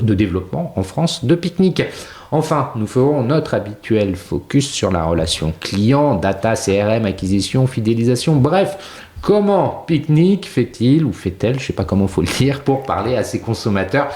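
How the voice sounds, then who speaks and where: A man hosting a podcast interview, talking with lively, expressive intonation. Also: medium pitch, moderate pace. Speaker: podcast host. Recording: roomy echo.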